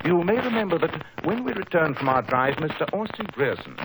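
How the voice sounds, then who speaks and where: A man's voice: a little high, big pitch swings, brisk, background noise. A man acting a coolly superior British detective in a 1940s radio drama, precise and didactic, on an old broadcast recording. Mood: coolly explaining. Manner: precise, didactic, self-assured.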